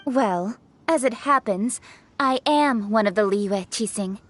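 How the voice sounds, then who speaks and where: A woman's voice: mid-range voice, takes it slowly, a little hiss or hum, natural voice. A woman playing a proud, forthright young female official in a video game, speaking formally and assertively. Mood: composed, assured. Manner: formal, assertive, crisp phrasing.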